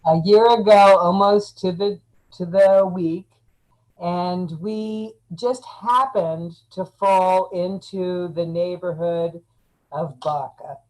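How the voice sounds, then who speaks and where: A woman guest chatting casually, halting often with fillers. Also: on the low side, steady tone, darkish tone, poor audio. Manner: slow, halting, frequent fillers. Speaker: interview guest. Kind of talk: casual online chat.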